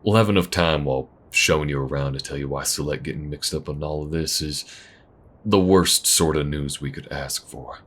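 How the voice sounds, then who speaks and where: A man playing a wary, protective chop shop owner in a space western audio roleplay, talking slowly and intimately in folksy phrases. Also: very deep voice, fairly bright tone, slightly rough, exaggerated voice. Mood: measured. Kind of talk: audio roleplay acting, spoken intimately to the listener.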